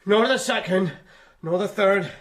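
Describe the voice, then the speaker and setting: An actor playing a bitter, wronged country labourer, pleading emphatically in plain rustic speech for a radio drama. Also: male voice, high voice, measured pace. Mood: earnest pleading. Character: defiant, wronged poor country labourer, repeatedly jailed.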